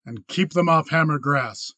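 A man voicing an angry, cold young cattle rancher in an audiobook western, flat, clipped and challenging. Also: fairly high pitch, normal speed, dry recording. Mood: cold warning.

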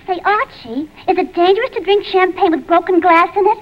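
A woman's voice: very high-pitched, fairly quick, heavy background noise. A woman playing a brassy, gullible, man-hungry New Yorker in a 1940s radio comedy. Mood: breezy, defensive. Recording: muffled old radio recording.

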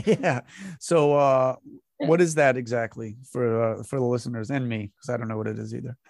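A man from Massachusetts with a New England accent, hosting a casual interview, laid-back and halting, with plenty of fillers and chuckles. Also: medium pitch, fairly even intonation, creaky, a little mellow, no echo, clean studio sound. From Massachusetts, near the Rhode Island border. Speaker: podcast host.

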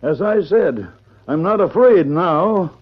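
An actor playing an honest, wrongly accused rancher in an old radio western, speaking with halting sincerity. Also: male voice, sits high, lively intonation, slightly rough, exaggerated voice. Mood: resolute, hopeful. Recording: dull old radio recording.

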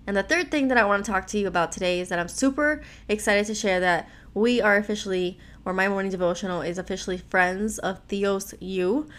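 Raspy American woman hosting a devotional. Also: mid-range voice, a little fast, hardly any pauses, fairly bright tone. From Miami, Florida, United States. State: hoarse from allergies. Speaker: devotional podcast host.

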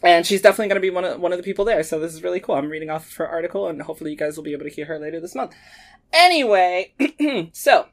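A man hosting a gaming podcast, talking in a casual, upbeat and excitable way. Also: high-pitched, racing. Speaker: podcast host.

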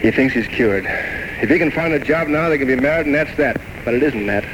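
A man's voice: medium pitch, a little fast, close to an everyday voice. An actor playing a tormented, grandiose alcoholic writer in his thirties, rambling theatrically and bitterly mocking himself in an old radio drama. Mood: brooding narration. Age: 30s. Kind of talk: 1940s-1950s radio drama acting. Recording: noisy old radio broadcast recording.

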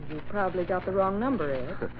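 An actress playing a gangster's deceitful girlfriend, self-assured, brisk and defensive, in an old radio crime drama. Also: on the low side, expressive, close to an everyday voice. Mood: evasive. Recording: muffled, slightly noisy old radio recording.

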